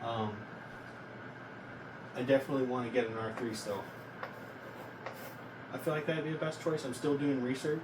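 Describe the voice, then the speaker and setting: A man in his early twenties talking casually in a self-reflective vlog, rambling and halting, often questioning himself as he goes. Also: mid-range voice, fairly even intonation. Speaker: vlogger. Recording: echoey, noisy, distant from mic.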